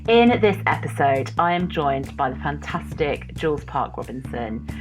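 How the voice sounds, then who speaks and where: A woman's voice: low voice, animated, normal speed, over music, rough recording. A woman hosting a podcast, introducing it and her guest in a friendly, conversational style. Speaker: podcast host.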